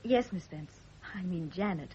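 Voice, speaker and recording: An actress playing a shy, deferential young wife, timid and polite, in a radio drama. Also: female voice, lowish voice, animated, normal speed, dark tone, husky. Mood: shy eagerness. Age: young adult. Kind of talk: 1970s radio drama acting. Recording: dull lo-fi old radio recording.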